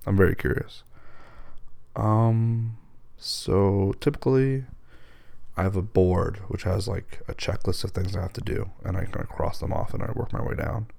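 A hoarse man, losing his voice, answering listener questions in a hesitant, casual way. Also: low voice, fairly even intonation, moderate pace, a little mellow, no echo. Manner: hesitant, many ums and restarts.

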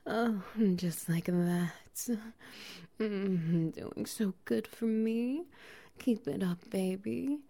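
A doting, dominant bear-woman played by a woman murmurs in a soft, teasing whisper with low breathy laughs. Her voice is mellow and warm with a gravelly fry. She takes her time, drawing every word out slowly. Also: exaggerated voice. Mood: sultry, doting. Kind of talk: intimate audio roleplay.

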